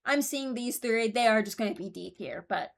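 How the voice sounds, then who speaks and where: A woman's voice: fairly high pitch, animated, normal speed, vocal fry. A young woman hosting a video with casual, informal commentary on game voice lines, rambling and often interrupting herself. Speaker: streamer and video host.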